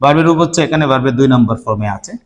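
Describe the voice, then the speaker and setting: Man, grammar teacher, from Bangladesh, brisk, talks to students, repeats rules. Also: mid-range voice. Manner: addresses students directly, repeats rules step by step. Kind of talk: classroom grammar lesson to students.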